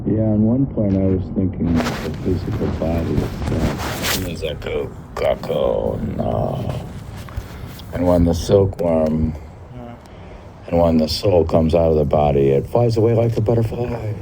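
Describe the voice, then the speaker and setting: A slow-spoken man in his sixties with a low, creaky voice, hosting a podcast. He drifts between reading aloud and musing, rambling asides to himself. There is clear noise in the recording. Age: 60s. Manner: musing, meandering, talks to himself. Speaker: podcast host. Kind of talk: reading a classic text aloud with rambling personal commentary.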